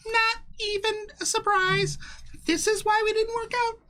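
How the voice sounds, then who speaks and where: A woman's voice: very high-pitched, level tone, a little slow, sharp tone, heavily acted. A woman voicing an indignant, self-important eccentric male doctor who complains huffily in a tabletop game. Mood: huffy.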